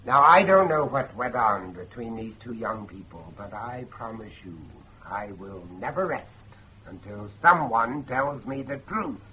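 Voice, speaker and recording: A man's voice: medium pitch, expressive, a little slow, sharp tone, slight background noise, rough recording. An actor playing a determined, troubled middle-aged father with formal, insistent speech in a gothic horror drama. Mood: insistent, defiant. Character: determined, troubled father hunting for his missing son.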